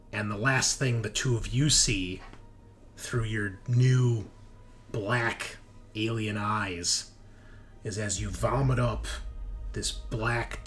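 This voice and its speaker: A man narrating as a game master in deliberate, suspenseful short phrases, moving between dramatic storytelling and table talk. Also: on the low side, fairly bright tone. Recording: music behind the voice. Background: background music.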